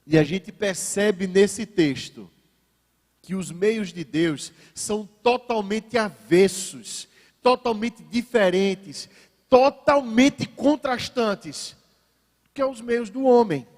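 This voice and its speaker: A man with a Northeastern Brazilian accent preaching a sermon as a pastor, phrasing deliberately and emphatically with rhetorical repetition. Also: high voice, a little mellow. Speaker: pastor preaching at a church service. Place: large indoor church, preaching to a congregation. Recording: reverberant church hall sound.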